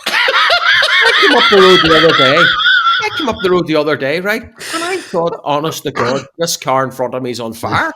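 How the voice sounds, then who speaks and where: Blunt, animated man. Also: on the high side, moderate pace, very noisy. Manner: storytelling, blunt and jokey. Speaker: podcast co-host. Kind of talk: casual banter.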